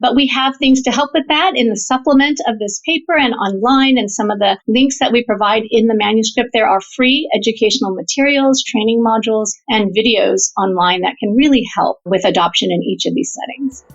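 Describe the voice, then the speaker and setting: A woman's voice: a little high, a little fast, hardly any pauses. A woman physician researcher explaining her work as an interview guest, articulate, earnest and emphatic. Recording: slightly dull, lo-fi audio.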